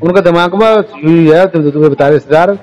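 A man's voice: on the high side, fast, a little mellow. Placating rural man on a recorded call. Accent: western Uttar Pradesh rural. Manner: placating, repetitive, murmured agreement. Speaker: village head on a phone call. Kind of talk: informal phone conversation. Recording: leaked phone call recording, music behind.

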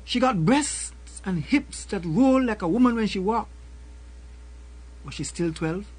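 An older man with a Caribbean accent recites a spoken word poem in a slow, rhythmic way, returning again and again to a refrain, at a desk mic in a small room. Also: sits high, lively intonation, a little mellow, slightly rough. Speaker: poet. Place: small room with a colorful backdrop.

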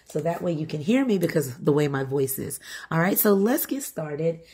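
A middle-aged woman with a groggy, hoarse voice from being sick, chatting casually as she hosts her home budgeting vlog. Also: sits low, animated, moderate pace, warm tone. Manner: chatty, repeats "all right" and "okay". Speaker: budgeting channel host. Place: home, table-top filming.

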